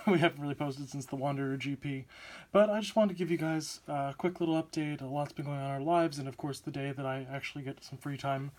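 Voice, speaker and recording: Slightly hoarse young man. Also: a little high, fairly even intonation, a little fast, darkish tone. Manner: chatty, upbeat, many "uh" fillers. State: hoarse, sore throat. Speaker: card game channel host. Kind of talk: casual deck profile walkthrough.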